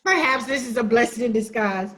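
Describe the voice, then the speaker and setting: A woman's voice: a little high, fairly quick, fairly bright tone, lightly acted. A woman acting a hurt, defiant, sassy sister who makes emphatic accusations in a dramatic play reading. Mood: bitter, resolute. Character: wounded, defiant younger-minded sister confronting her sibling.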